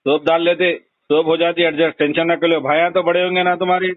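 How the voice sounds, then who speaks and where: A man with a Hyderabadi accent speaks in a fairly high voice. He is blunt and insistent in a casual, conversational way. The sound is a narrow, dry telephone recording with very little room in it. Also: little pitch movement, a little fast, no echo. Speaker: phone call recipient. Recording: tinny narrowband phone call recording.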